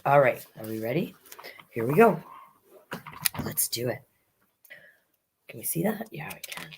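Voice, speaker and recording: A woman's voice: rumbling low, sing-song, a little slow, creaky, background noise. A woman hosting a children's storytime, reading picture books expressively with chatty asides to young listeners.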